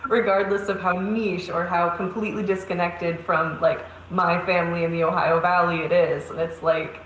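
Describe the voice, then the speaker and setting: A woman's voice: fairly low pitch, flat delivery, normal speed, a bit bright. Conversational woman in an echoey room. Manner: conversational, many fillers like "you know". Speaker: panelist. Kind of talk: casual panel discussion. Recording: echoey room, faint background noise.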